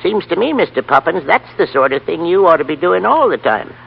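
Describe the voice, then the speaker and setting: A man's voice: a little high, sing-song, brisk, vocal fry, husky, dull audio, full character voice. An actor playing a carefree old grandfather, folksy, plain-spoken and easygoing, in a 1930s radio play. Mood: friendly, easygoing.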